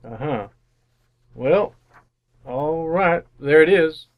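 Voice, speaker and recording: Hesitant lonely radio-drama bachelor. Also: male voice, fairly high pitch, lively intonation, heavily acted. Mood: hesitant agreement. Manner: hesitant, halting, bemused. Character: awkward, lonely city bachelor seeking romance. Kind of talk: old-time radio drama acting with first-person narration.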